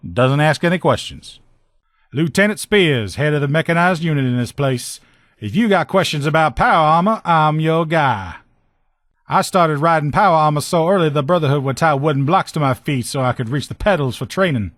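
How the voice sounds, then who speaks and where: A man's voice: on the high side, no echo, studio quality, close to an everyday voice. A man voicing a plain-spoken, loyal power armor lieutenant in a video game, talking in a blunt, folksy, colloquial way. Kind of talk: video game character dialogue.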